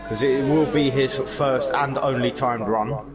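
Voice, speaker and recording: A man with a croaky voice commentates a sim racing league live, chatty, excitable and quick with a joke. His voice sits in a middling range. Loud music and engine noise from the game play behind him. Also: medium pitch. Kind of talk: live esports race commentary.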